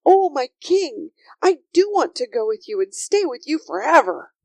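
Woman voicing an eager, high-voiced little girl. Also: very animated, moderate pace, gravelly, no room sound, exaggerated voice. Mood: awed, devoted. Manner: breathless, earnest, polite. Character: eager, earnest little girl, shy and awed. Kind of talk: children's storybook character voice.

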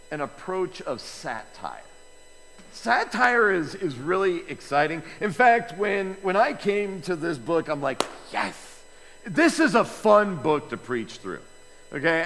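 A man preaching a sermon as pastor, chatty and lively, with asides to the congregation. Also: fairly high pitch. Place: church sanctuary. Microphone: microphone with feedback issues. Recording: long hall reverb, faint ambience.

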